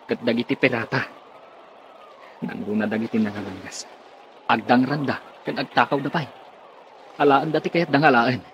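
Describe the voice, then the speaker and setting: A man's voice: mid-range voice, fairly even intonation, moderate pace, pauses often, fairly bright tone, natural voice. Actor as wary seaman, muffled and noisy. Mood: grave, wary. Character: seasoned, wary merchant seaman. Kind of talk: radio drama acting.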